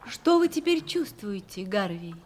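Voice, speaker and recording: An actress playing a mysterious, resolute young woman of the sea in an audio drama, imperious and deliberate. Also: medium pitch, very animated, a little mellow, slight background noise. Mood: calm mystery.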